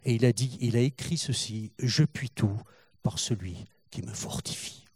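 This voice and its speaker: An older man preaching a sermon in French, halting and repeating words, rising in fervor as he goes. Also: medium pitch, darkish tone, slightly rough. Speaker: pastor.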